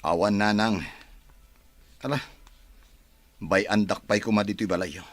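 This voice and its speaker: A man's voice: fairly low pitch, steady tone, a little slow, no echo, lightly acted. A man from the Philippines voicing a troubled, defensive grown son in an audio drama.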